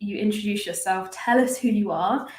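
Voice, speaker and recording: A woman's voice: mid-range voice, normal speed, echoey room. Upbeat woman hosting a live stream. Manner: upbeat, chatty, laughs often. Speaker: host and language teacher.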